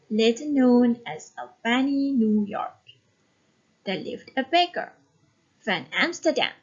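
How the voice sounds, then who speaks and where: A woman teacher leading a reading class, speaking step by step to pupils with expressive instruction. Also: a little high. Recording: dull lo-fi recording.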